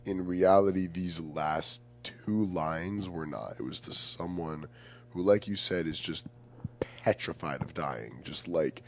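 A man's voice: low-pitched, takes it slowly, slight background noise. A young man chatting casually and reflectively as a podcast guest, earnest and emphatic, often searching for the right words.

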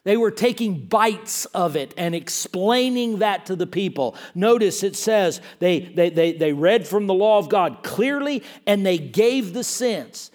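Man, sermon, high-pitched voice, repeats and stresses phrases, quiet to loud swings. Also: expressive, unhurried, few pauses. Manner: emphatic, repeats words and restarts phrases. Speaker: guest preacher.

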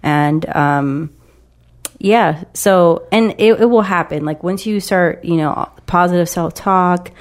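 A woman's voice: lowish voice, takes it slowly. A woman co-hosting a casual advice chat, getting over a cold and sniffling, rambling with many fillers. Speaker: podcast co-host.

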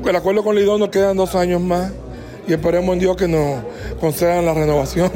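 A man being interviewed on a sports radio show, explaining his media team's plans in a relaxed way and often repeating words. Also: high-pitched, fairly quick, soft background music.

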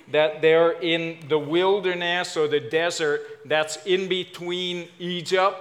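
Slow-speaking Hawaiian man preaching. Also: fairly high pitch, fairly bright tone. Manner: casual, self-deprecating, halting with fillers. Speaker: guest preacher. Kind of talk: sermon.

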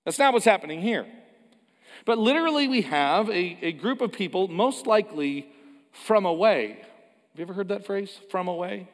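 A Canadian-born male pastor preaches in a loose, conversational way, stopping for self-deprecating jokes and starting lines over. His voice sits a little high for a man. The sound carries a long reverb from a large church. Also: animated, moderate pace. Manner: conversational, self-deprecating asides, frequent restarts. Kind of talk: sermon.

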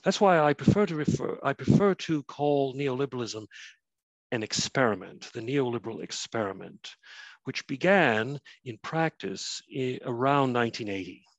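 A Canadian man in his fifties, an author giving a prepared remote lecture, measured and full of uh and um fillers. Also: mid-range voice, expressive, dry recording, lo-fi sound. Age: 50s. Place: his flat, remote talk.

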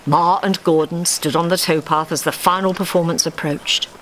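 A woman's voice: lowish voice, fairly even intonation, moderate pace, fairly bright tone, rough recording. A woman narrating a scripted story expressively, recorded live in a theatre. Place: small theatre stage before an audience. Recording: live theatre recording with faint background noise.

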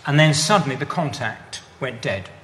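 A man's voice: mid-range voice, animated, normal speed, fairly bright tone, slight background noise. A man answering audience questions after a talk, thoughtful and hesitant, often repeating words as he searches for them. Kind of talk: lecture Q&A.

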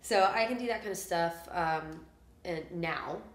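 A woman musician talking casually in a podcast interview, chatty and animated, with plenty of fillers. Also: lowish voice, a little slow, roomy sound, a little hiss or hum. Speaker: musician guest.

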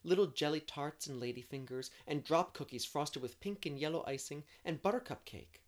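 A woman's voice: low voice, normal speed, voice pushed up, lightly acted. A woman voicing a dreamy, talkative young woman in an audiobook, effusive and poetic in manner. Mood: excited, earnest. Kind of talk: audiobook character reading.

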